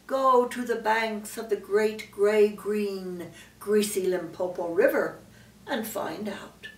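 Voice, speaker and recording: Mournful storybook bird, performed by an older woman, slow and drawn-out; echoey, distant recording. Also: medium pitch. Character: mournful Kolo Kolo bird giving directions. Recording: roomy, distant mic, faint background noise.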